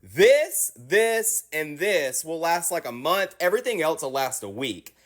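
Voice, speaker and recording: A woman's voice: low-pitched, sing-song, measured pace. A woman ranting to camera, exasperated and swearing freely. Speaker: social media poster.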